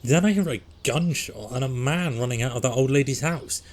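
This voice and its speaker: A man's voice: mid-range voice, fairly quick, darkish tone, close to an everyday voice. A man playing a defiant, rattled teenage vandal in an audio drama. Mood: defensive.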